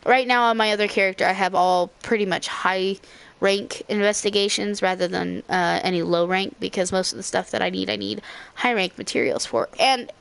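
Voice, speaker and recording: A woman's voice: medium pitch, steady tone, normal speed, vocal fry, a bit bright, clean tone. Young woman, slightly scratchy voice. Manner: rambling, with frequent fillers and self-corrections. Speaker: let's play host. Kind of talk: casual gameplay commentary.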